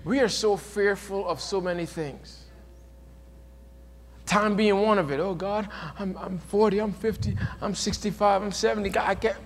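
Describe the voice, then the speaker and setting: Man preaching, high voice, soft to shouting, long hall reverb. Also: fairly even intonation, normal speed, a little hiss or hum. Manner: swings from soft to shouted, rising to emphatic peaks. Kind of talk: sermon.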